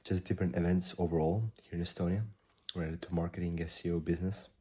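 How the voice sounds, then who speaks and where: A man in his twenties, a startup founder, recording a rambling video diary at a hushed volume while slightly sick with a cough. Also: low voice, little pitch movement, husky. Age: 20s. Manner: rambling, halting, self-correcting; keeps his voice down.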